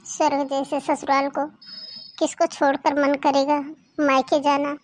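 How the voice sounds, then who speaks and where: A woman's voice: high-pitched, flat delivery, unhurried. A woman doing a meek, tearful young bride. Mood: wistful. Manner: soft, pleading, tearful.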